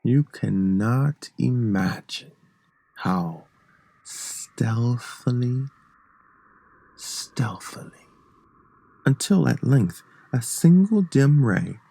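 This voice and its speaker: A man playing a sly, gleeful murderous madman in a theatrical, halting gothic horror reading that drops into whispers. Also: medium pitch, animated, vocal fry, mellow, no echo, heavily acted, poor audio. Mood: menacing, deliberate.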